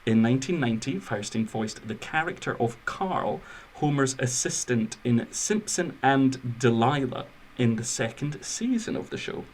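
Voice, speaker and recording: A man's voice: mid-range voice, lively intonation, moderate pace, few pauses, slight background noise, rough recording. A man hosting a film podcast, moving between scripted biography and chatty, wry asides. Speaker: podcast host.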